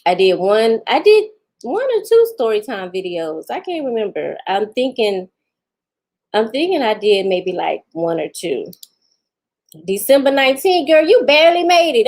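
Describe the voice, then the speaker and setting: A woman's voice: high-pitched, very animated, normal speed, a little mellow. A woman, chatting casually with viewers on a live stream, laughing often and talking back to them. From Houston, Texas. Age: 40s. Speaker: vlogger answering live chat.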